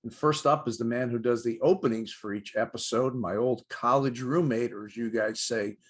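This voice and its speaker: A man's voice: mid-range voice, normal speed, husky. A middle-aged or older man co-hosting a sports show, presenting with light banter.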